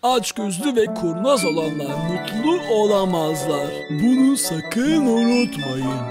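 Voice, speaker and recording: A woman voicing a big, slow, boastful bear in a cartoon dub. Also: mid-range voice, sing-song, music in the background. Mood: grumbling, moralizing.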